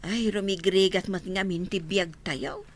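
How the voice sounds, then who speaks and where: A woman's voice: on the low side, a little slow. Woman playing fretful, nagging village mother. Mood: complaining. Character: worn, nagging village mother of struggling household. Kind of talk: comedy radio drama acting.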